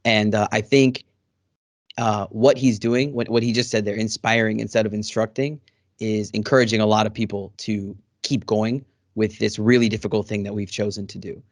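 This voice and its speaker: A man's voice: fairly low pitch, steady tone, moderate pace, dry recording, clean studio sound. A man hosting a casual interview over a video call, friendly and rambling with many fillers. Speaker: host. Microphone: studio microphone.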